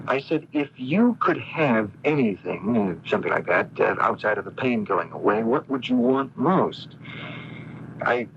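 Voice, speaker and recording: An actor playing a polite, unassuming young medical graduate in a radio drama, speaking haltingly with many pauses. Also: male voice, lowish voice, expressive, gravelly, fairly bright tone, full character voice. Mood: uncertain, recalling. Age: young adult. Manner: hesitant, halting, full of pauses. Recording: dull noisy AM radio broadcast recording.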